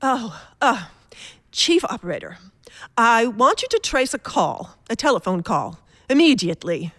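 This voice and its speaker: A woman's voice: a little high, very animated, unhurried, a bit bright, no echo, studio quality, exaggerated voice. A woman playing an imperious, panicky telephone caller in a radio thriller, melodramatic and insistent. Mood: flustered, urgent.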